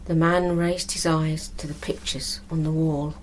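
A woman reading a scripted story as narrator, in a measured, expressive storytelling manner. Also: low-pitched, a little hiss or hum, low-quality recording.

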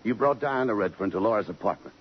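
A man's voice: lowish voice, expressive, fast, husky. An actor playing a tough American police lieutenant in 1950s radio drama, blunt and pressing as he questions people. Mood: hard, accusing. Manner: blunt, pressing interrogation, slangy. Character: tough, persistent male police detective lieutenant. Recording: muffled, hissy 1950s radio broadcast.

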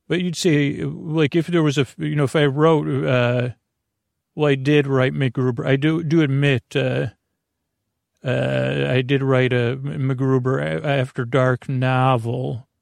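A man talks in a creaky, fry-laden voice with very little room sound. He hosts a sleep podcast in a lulling, soothing way. His bedtime monologue meanders, with hesitations and self-interruptions as he questions himself. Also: mid-range voice, level tone, a little slow, darkish tone, no echo, clean studio sound.

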